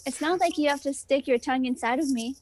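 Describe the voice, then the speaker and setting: A woman with a high voice reads dialogue aloud playfully. She is giggly, treating the lines as comedy for a book club. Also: fast talker, faint music behind. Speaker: co-reader of a book's dialogue.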